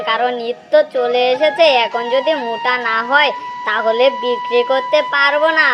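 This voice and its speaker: High-voiced, money-minded cartoon cattle farmer, a woman acting. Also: close to an everyday voice. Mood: urging. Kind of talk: cartoon dialogue. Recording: dull lo-fi track under loud music.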